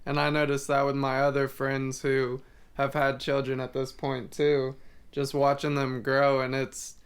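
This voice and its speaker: A man's voice: mid-range voice, measured pace, clean tone. A young man and father in a casual podcast chat, speaking earnestly and matter-of-factly. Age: young adult. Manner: earnest, steady, matter-of-fact.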